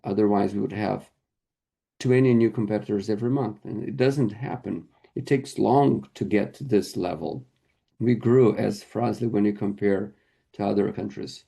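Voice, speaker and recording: A man interpreting a corporate earnings call live, speaking haltingly in short phrases. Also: on the low side, gravelly, dark tone, slightly rough. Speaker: conference interpreter.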